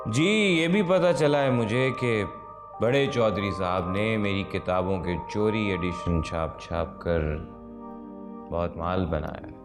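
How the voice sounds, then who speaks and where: A low-voiced, world-weary writer in a period TV drama. He speaks with dry, polite sarcasm. Music plays clearly behind him. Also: slow. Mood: pointed sarcasm. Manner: dry, ironic, courteous sarcasm. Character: sardonic, world-weary male Urdu short-story writer. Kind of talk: television period drama acting.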